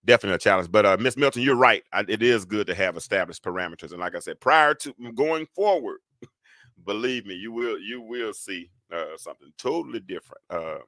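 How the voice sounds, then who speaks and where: A man's voice: on the low side, lively intonation, brisk, a bit bright, no echo. A man hosting a casual live talk, rambling with lots of fillers and restarts. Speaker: host.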